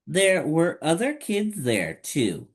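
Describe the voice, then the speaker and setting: A man hosting story time, reading a children's picture book aloud in simple, deliberate phrases. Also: on the high side, wildly swooping pitch, husky.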